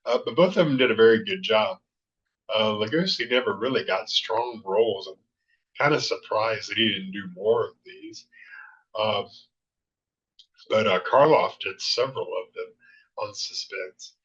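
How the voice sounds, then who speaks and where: Low, bright-voiced man hosting casually. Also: a little slow, long pauses, dull audio. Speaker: show host. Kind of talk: casual commentary on vintage television.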